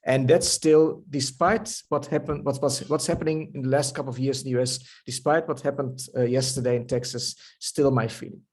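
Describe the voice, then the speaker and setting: A man's voice: medium pitch, fairly even intonation, a little fast, few pauses, darkish tone, breathy edge. A man in his fifties with a Dutch accent, a novelist answering interview questions in a thoughtful way, often restarting and repeating words. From Netherlands. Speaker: novelist guest.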